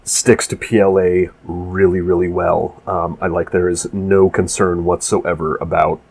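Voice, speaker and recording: Man, deep and slightly husky, congested and nasal; loose rambling voice-over for a maker video. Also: level tone, measured pace, darkish tone. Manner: rambling, full of restarts and fillers. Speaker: maker explaining his prop build. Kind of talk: casual voice-over narration of a build video.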